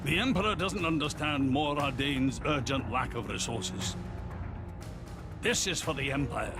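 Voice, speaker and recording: An actor playing a shifty, blustering imperial senator in a video game, haughty and indignant, with a creaky voice. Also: male voice, medium pitch, unhurried, music in the background, close to an everyday voice. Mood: defensive. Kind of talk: dramatic video game acting.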